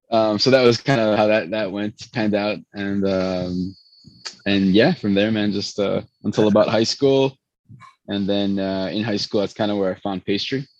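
A man's voice: lowish voice, fairly even intonation, unhurried, pauses often, dry recording, highs cut off. A man who spoke Spanish first, a pastry chef telling long rambling stories full of restarts in an interview. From born in Chicago, raised in Venezuela. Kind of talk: casual interview storytelling.

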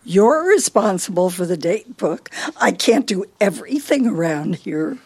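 An actress with an American accent playing a bossy, forgetful, scolding older wife in a radio play. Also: female voice, medium pitch, very animated, unhurried, darkish tone, slightly rough, full character voice. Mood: exasperated, scolding. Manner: scolding, fussy.

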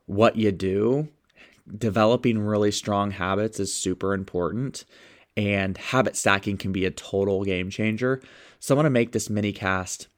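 A man with a stuffed-up nose hosting a motivational podcast, talking with upbeat enthusiasm as a sales coach. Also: lowish voice. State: stuffed up, sniffling.

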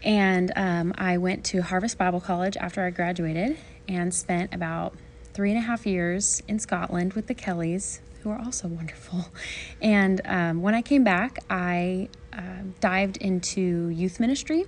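A woman's voice: fairly low pitch, a little slow, slight background noise. A young woman from Washington State, a podcast guest chatting casually, giggling often and restarting her sentences. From Washington State, USA. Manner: giggly, bubbly, lots of laughter. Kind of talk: casual chat.

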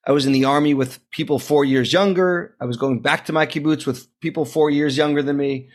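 Male host, thirties, slightly higher voice, polished scripted intro. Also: fairly even intonation, fairly quick. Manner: scripted introduction, friendly questions. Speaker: podcast host. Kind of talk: interview hosting.

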